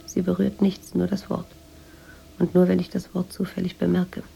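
An actress playing a troubled, introspective woman writer in a radio drama monologue, speaking in a measured, confiding way. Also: fairly low pitch, monotone, vocal fry, mellow, a little hiss or hum. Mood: detached, dreamy.

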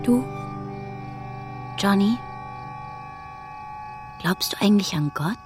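A woman's voice: medium pitch, lively intonation, takes it slowly, over music. An actress playing a curious, dreamy young girl who speaks frankly and simply in a German radio play. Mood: curious, earnest.

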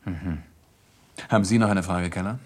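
A low, husky police inspector. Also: male voice, normal speed. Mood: businesslike. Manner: formal, insistent, controlled. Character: firm, courteous male police inspector questioning suspects. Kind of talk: German crime radio drama acting.

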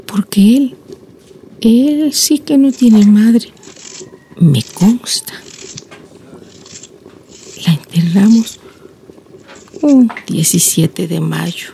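An actress playing a lonely, wistful old blind village grandmother in a radio drama monologue, breathy and creaky, reflecting with sighs and long pauses. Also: medium pitch, dark tone, a little hiss or hum. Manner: slow reflective storytelling, sighing interjections, long pauses.

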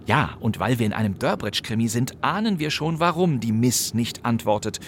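A man's voice: medium pitch, expressive, a little fast, a little hiss or hum. A man hosting a podcast, delivering scripted narration with conversational asides and dry humour. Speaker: podcast host. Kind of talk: scripted narration about old radio crime plays.

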